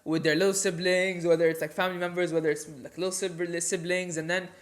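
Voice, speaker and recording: A man's voice: sits high, level tone, fast talker. A young man co-hosting a podcast, chatting casually in an earnest, reflective way. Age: young adult. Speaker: podcast co-host. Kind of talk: casual sibling podcast chat.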